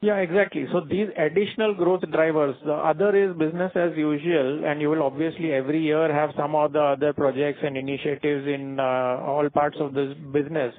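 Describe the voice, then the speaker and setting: A man's voice: fairly high pitch, fairly even intonation, a little fast, hardly any pauses. A man questioning as a financial analyst on an earnings call, polite and hesitant in his phrasing. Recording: tinny phone line.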